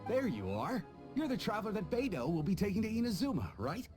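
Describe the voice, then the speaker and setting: Eager, very animated young sailor. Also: male voice, high-pitched, quick pace. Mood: upbeat, businesslike. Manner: polite, upbeat. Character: eager, loyal young male ship's crewman messenger. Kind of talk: English video game voice acting. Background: game music.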